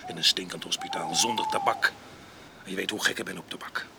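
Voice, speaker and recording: Gravelige, ruwe ex-gevangene, ruisige hoorspelopname. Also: male voice, deep voice, wildly swooping pitch, a little fast, heavy background noise, heavily acted. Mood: bitter storytelling. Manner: coarse, domineering, mocking. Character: rough, jealous ex-convict husband with a violent past. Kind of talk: radio drama acting.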